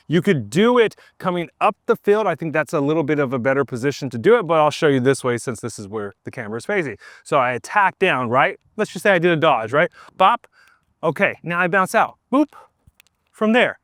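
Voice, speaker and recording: A man's voice: a little high, dry recording. Young male coach, upbeat, outdoor instructional delivery, dry mic. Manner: energetic, demonstrating moves while talking. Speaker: lacrosse coach. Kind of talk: instructional demonstration. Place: outdoors on a field.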